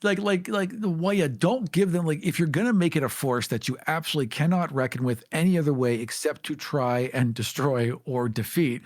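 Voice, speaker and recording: Animated man with a higher voice. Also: brisk, hardly any pauses, dry recording, clean studio sound. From Armenian heritage. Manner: emphatic, animated, jumps up in pitch when making a point. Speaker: podcast co-host. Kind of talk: casual chat.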